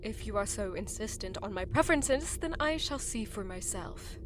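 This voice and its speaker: A woman's voice: medium pitch, lively intonation, normal speed, slight background noise, lightly acted. A woman playing a proud, blunt goddess of the void in an audio drama, with formal, clipped delivery. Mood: haughty, indignant. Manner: formal, self-righteous, clipped.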